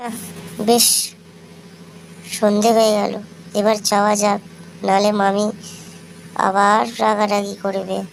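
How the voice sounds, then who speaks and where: A woman's voice: mid-range voice, little pitch movement, slow pace, gravelly, long pauses, a little hiss or hum, low-quality recording. A woman playing a sorrowful, timid young orphaned village woman in a cartoon. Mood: weary.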